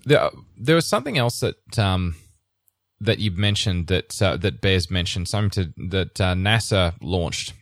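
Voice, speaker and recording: A man with a deep, low voice hosts a casual technical podcast. He rambles, restarts his sentences and laughs often. The sound is dry and close, with no room in it. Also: very animated, measured pace, no room sound. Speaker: podcast host.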